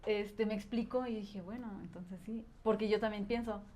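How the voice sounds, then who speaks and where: A woman's voice: mid-range voice, fairly even intonation, normal speed, a little mellow. Woman explaining at length. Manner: explains at length, thinking aloud with filler words. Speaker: guest, language educator. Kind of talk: casual conversation.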